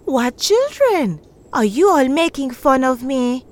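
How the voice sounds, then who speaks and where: A woman voicing a lonely village woman who is quick-tempered but loving, speaking emphatically in a storybook reading. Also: extremely high pitch, expressive, voice pushed up. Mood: indignant. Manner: pleading, emphatic.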